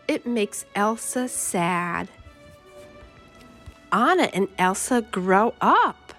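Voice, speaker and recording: A woman reading a simple children's storybook aloud as a storyteller, in short, deliberate, expressive phrases. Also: a little high. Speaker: children's read-along storyteller. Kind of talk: simple scripted storybook narration for young children.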